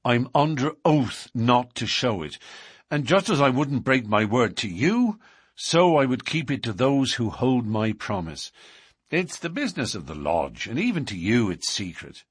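A man's voice: mid-range voice, very animated, measured pace, husky, no room sound, studio quality. A man doing a coaxing lover. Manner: coaxing, earnest, tender. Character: secretive young Irish-American man, passionate lover. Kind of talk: audiobook character reading.

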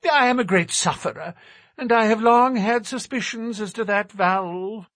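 A man voicing a twitchy, fussy young man with a thin, high voice and an anxious manner. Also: normal speed, a bit bright, dry recording. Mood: fussy, nervous. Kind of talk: audiobook character reading.

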